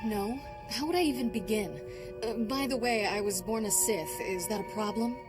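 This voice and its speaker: An actress playing a spirited, guarded young Jedi apprentice in a video game, speaking bluntly with a wry edge. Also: female voice, medium pitch, normal speed, loud music behind the voice, close to an everyday voice. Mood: wry confession. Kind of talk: dramatic video game acting.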